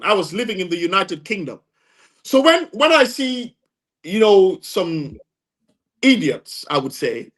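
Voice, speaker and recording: A man's voice: sits high, fairly bright tone. A man with a Gambian accent speaking as an interview guest, emphatic and insistent, repeating phrases for stress. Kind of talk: impassioned interview testimony.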